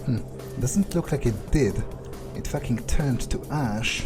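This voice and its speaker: A man playing a weary, foul-mouthed vampire who thinks aloud in a halting, musing monologue, as in a game playthrough. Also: mid-range voice, music in the background, close to an everyday voice. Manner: halting, musing, casually profane. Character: weary, foul-mouthed male vampire muttering his thoughts. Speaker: gaming video creator playing in character.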